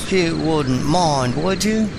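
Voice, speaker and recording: Man doing a crude, excitable unicorn thug, slow and swooping. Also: a little high, gravelly, very noisy, voice pushed up, heavily acted. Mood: sneering, mocking. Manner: sneering, foul-mouthed. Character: crude, jumpy gun-toting male unicorn slaver thug. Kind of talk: audiobook character voice.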